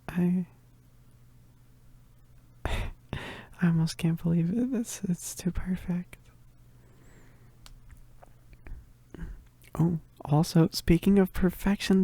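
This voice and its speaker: A shy, easily flustered young female werewolf, acted by a woman in an intimate ASMR roleplay. She speaks low and slowly in a very dark, soft, breathy voice, stammering and often slipping into whispers right by a dry, close microphone. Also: dry recording, exaggerated voice. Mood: shy, playful. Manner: halting, stammering, bashful, often dropping into whispers.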